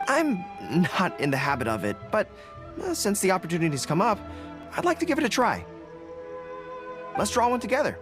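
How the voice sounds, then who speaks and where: Game role: earnest dog-eared boy general, excitable and very animated, music underneath. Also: medium pitch, fairly quick, long pauses. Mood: hesitant, curious. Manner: excitable, stammering when flustered. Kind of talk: video game character dialogue.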